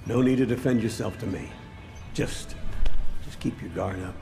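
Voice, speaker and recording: A man playing a principled, gruff older police officer and father in a video game drama, with an American accent and a gravelly voice. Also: fairly low pitch, moderate pace, music in the background. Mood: gruff, fatherly. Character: aging veteran cop father, proud, gruff, principled.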